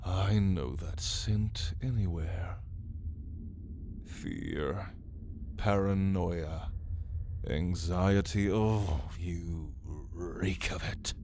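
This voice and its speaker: Ancient vampire, male actor, arrogant and amused. Deep, extremely unhurried, taunting ASMR delivery over clear background noise. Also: a little mellow, full character voice. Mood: predatory, savoring. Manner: slow, theatrical, taunting, with whispered drawn-out words. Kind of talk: ASMR roleplay, addressing the listener.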